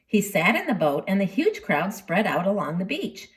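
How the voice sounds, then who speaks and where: Animated woman reading a children's storybook. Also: mid-range voice. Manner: dramatic, with deliberate pauses and emphasis.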